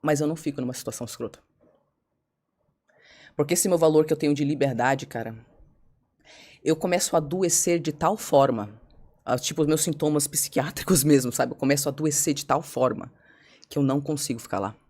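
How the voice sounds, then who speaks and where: Woman, podcast guest. Quick, candid storyteller who acts out her own quotes. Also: sits low. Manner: fast, animated, acts out her own past words.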